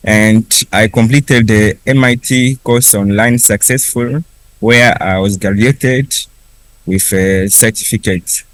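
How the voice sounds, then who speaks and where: Young guy, kiswahili is his first language, talks real slow with lots of pauses, dry close sound. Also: lowish voice, dry recording, poor audio. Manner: unhurried, with frequent restarts and pauses. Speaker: refugee speaker at a conference. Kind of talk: personal story told slowly.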